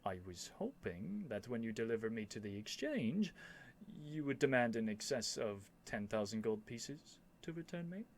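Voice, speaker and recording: A man's voice: medium pitch, big pitch swings, moderate pace. A man playing a young royal prince in a scripted ASMR roleplay, earnest and lovestruck, speaking in formal, courtly phrases with haughty airs and flustered hesitations. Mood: earnest, polite. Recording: faint background noise.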